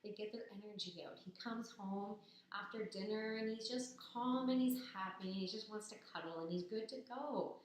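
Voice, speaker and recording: This is a young woman, her voice raspy and hoarse after a cold. She talks in a chatty, persuasive way, pitched right in the middle. The recording rings with heavy echo. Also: moderate pace. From Ontario, Canada. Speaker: business student. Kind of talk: persuasive speech to camera. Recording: very echoey, roomy sound.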